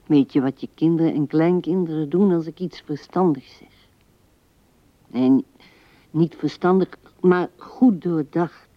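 An actress playing an old widow who muses tenderly and haltingly to her dead husband in a radio play, often sinking to a whisper. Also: sits low, no room sound. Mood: weary, hurt. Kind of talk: intimate radio play monologue. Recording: dull, dry vintage radio recording.

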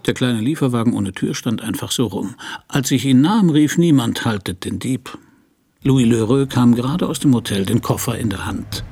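A man playing a world-weary private detective who narrates his case in a hardboiled radio crime play, dry and laconic, with a husky, gravelly voice. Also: mid-range voice, normal speed, a little mellow. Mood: wry, brooding. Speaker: narrator and lead actor.

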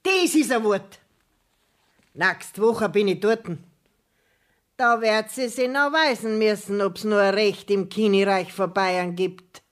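Slow, stubborn Bavarian peasant mother played by a woman. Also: medium pitch, natural voice. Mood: defiant. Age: middle-aged. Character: stubborn, aggrieved peasant mother demanding justice. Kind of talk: dialect radio play acting.

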